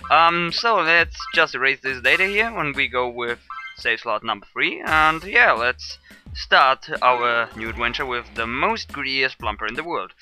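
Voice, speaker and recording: A German-accented man gives loose let's-play commentary in a very bright, cutting voice. He rambles and hesitates, filling gaps with ums and uhs. Faint game music plays in the background. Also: medium pitch. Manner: rambling, hesitant with many fillers. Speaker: let's player. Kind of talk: casual gameplay commentary.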